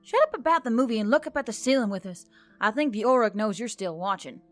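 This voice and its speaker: A woman's voice: a little high, expressive, quick pace, close to an everyday voice. A woman voicing a reluctant, exasperated young heroine in a fantasy story, wry, casual and sweary. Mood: exasperated, teasing. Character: Emily, reluctant, exasperated, sarcastic human heroine. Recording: background music under the voice.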